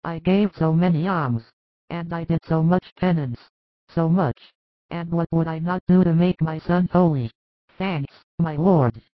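A man's voice: on the high side, a little slow, dry recording, pitched above the performer's own voice, natural voice. A man voicing a devout, tender, anxious mother of biblical times in a dramatized religious story, with fervent, effusive speech. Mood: relieved, overjoyed. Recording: dull lo-fi recording.

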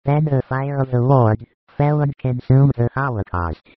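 Man acting kindly holy master, medium male pitch, measured, solemn, audiobook drama, close dry sound. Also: dry recording, phone-quality sound, lightly acted.

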